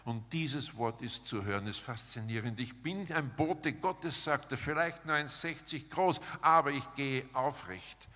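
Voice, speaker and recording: A man preaching a sermon in an emphatic, rhetorical manner, setting out short phrases with pauses between them. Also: mid-range voice, bright, forceful tone. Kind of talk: sermon with dramatized paraphrase, closing in prayer. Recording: long hall reverb.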